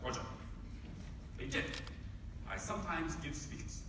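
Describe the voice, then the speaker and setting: A man's voice: on the high side, a little slow, vocal fry. A man giving a live seminar talk, chatty and quizzing his audience, repeating words as he goes. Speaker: seminar speaker. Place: large meeting room with an audience. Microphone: far from the recording mic. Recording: echoey hall from far off, background noise.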